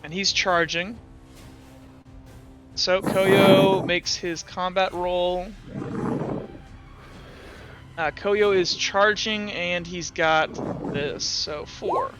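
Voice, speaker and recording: A man's voice: high voice, fairly even intonation, slow, music in the background. A man running a tabletop game as game master, talking through the rules and dice aloud and often correcting himself. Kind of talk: narrating and refereeing a tabletop game.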